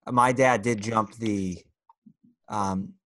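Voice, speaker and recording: Man chatting casually, low-pitched and dry. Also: fairly even intonation, drawn-out words, a bit bright, dry recording. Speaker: podcast co-host. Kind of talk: casual chat among friends.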